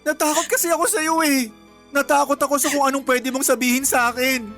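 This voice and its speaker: A man's voice: very high-pitched, level tone, brisk, sharp tone, full character voice. A man playing a guilty, defensive young Filipino husband and father in a radio drama, pleading and blaming himself. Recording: music bed behind the voice.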